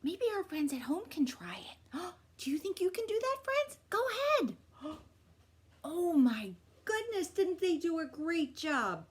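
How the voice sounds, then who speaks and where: A woman hosting a children's storytime, reading aloud with expressive, encouraging, exaggerated delivery. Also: very high voice, a little slow. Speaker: library storytime host. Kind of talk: animated read-aloud for young children.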